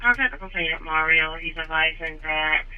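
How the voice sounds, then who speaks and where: A man's voice: fairly high pitch, moderate pace, smooth, narrow, tinny audio. A man working as a police dispatcher, speaking in clipped, procedural phrases over a scanner radio.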